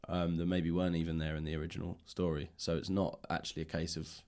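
A man's voice: low-pitched, little pitch movement, fast talker, darkish tone. A man interviewing in a casual, thoughtful, roundabout way as a podcast host. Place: library room.

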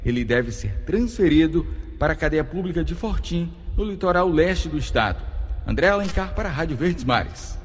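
A man's voice: on the high side, lively intonation, moderate pace, husky, big-room sound. A male radio reporter reading a news piece in formal delivery.

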